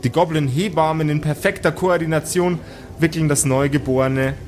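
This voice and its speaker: A man narrating an improvised tabletop audio play as game master, in measured, dramatic short phrases. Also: on the high side.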